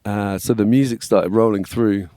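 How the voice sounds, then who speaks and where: An English man in his forties with a slightly scratchy voice, chatting casually as a podcast guest and telling stories in a relaxed way. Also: fairly low pitch, moderate pace, no room sound, clean studio sound. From England. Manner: relaxed, storytelling, frequent "yeah, man". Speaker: guest, worship label manager. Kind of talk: casual conversation.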